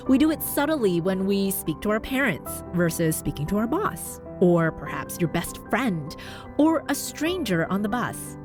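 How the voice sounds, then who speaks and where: A Canadian woman with a neutral North American accent hosts a podcast as a voice coach. She sounds upbeat and encouraging, with her voice leaping upward to stress her points. Soft music plays faintly underneath. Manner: upbeat, encouraging, with big jumps in pitch for emphasis. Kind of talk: scripted podcast monologue.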